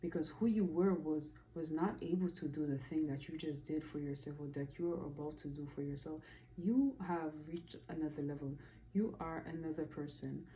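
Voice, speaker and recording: Low, mellow-voiced woman reading tarot. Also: normal speed, slight background noise, rough recording. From Caribbean, Dominican. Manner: conversational and emphatic, repeats affirmations, playful asides. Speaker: tarot reader. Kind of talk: casual spoken tarot reading to camera.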